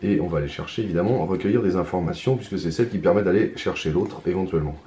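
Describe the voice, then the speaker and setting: A hoarse man talking his way through a solo card game in hesitant, mumbled commentary. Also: deep voice, fast, warm tone, a little hiss or hum. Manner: hesitant, mumbling, many restarts, muttering to himself. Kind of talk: casual solo gameplay commentary. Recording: roomy echo, faint ambience.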